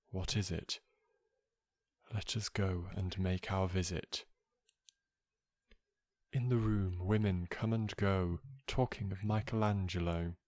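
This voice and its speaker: A man reading poetry in a soft voice, with a measured, hushed delivery and deliberate pauses, meant for relaxation. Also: deep voice, dry recording. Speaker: poetry reader.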